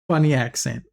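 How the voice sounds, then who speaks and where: A laid-back man with a South African English accent; his first language is Afrikaans. He chats with his stream at an unhurried pace, laughing easily, with a gravelly texture to his voice. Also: mid-range voice. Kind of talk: casual chat with viewers while 3D modeling.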